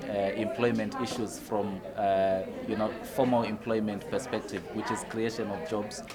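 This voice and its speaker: A young man from Zimbabwe, a youth activist giving a measured, earnest statement with frequent fillers. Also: lowish voice. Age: young adult. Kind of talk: short prepared statement to camera.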